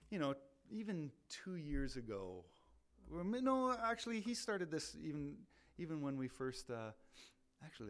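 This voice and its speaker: A man who leads a ministry, talking about faith in a loud, animated way, often repeating words as he restarts. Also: mid-range voice. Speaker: ministry leader. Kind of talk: casual faith talk.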